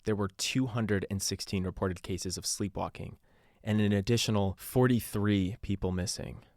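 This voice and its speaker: Actor as a very deep-voiced radio journalist narrating. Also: male voice, fairly even intonation, moderate pace, darkish tone, no echo, clean studio sound, natural voice. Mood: sober, matter-of-fact. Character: sober male radio journalist recounting a strange island mystery. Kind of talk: scripted first-person documentary narration.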